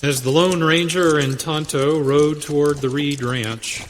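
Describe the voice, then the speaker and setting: A man's voice: fairly high pitch, fairly even intonation, measured pace, a little hiss or hum. Male radio western narrator in a hall. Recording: reverberant hall sound.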